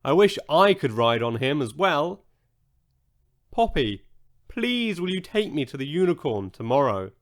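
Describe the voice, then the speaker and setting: A man's voice: fairly high pitch, very animated, a little slow. A man voicing a sweet, wishful young female fairy in a storybook, exclaiming and pleading. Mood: excited, pleading;.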